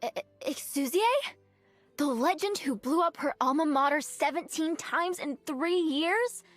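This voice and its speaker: A woman voicing an earnest, dutiful young nun archer in a video game, speaking politely and formally in measured phrases. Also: high voice, a bit bright, no echo. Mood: surprised. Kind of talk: scripted game character voice lines. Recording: clean dry studio, music behind.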